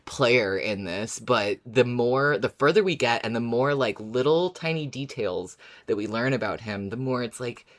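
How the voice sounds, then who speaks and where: A podcast co-host with a medium-pitched voice talks in a loose, casual way. The delivery is musing, as if working thoughts out aloud while chatting. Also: lively intonation, normal speed, few pauses, a bit bright. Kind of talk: casual chat about a story.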